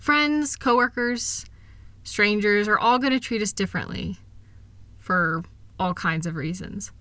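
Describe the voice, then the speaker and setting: A woman playing a kind, reassuring wife who gently and earnestly encourages her partner in an intimate one-sided roleplay audio. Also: mid-range voice, a little slow, dry recording. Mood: understanding, reassuring.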